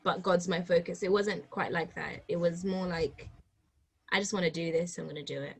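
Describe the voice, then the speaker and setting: A woman from Zambia, a life coach, chatting casually as a guest on a podcast over a video call, reacting quickly and with energy. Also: fairly low pitch, steady tone, creaky, husky. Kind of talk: casual chat.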